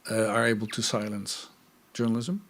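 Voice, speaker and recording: Low-voiced male moderator, polite and hesitant. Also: flat delivery, normal speed, fairly bright tone. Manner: polite, hesitant, with many fillers. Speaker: host, moderator. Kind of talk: moderating a live public talk.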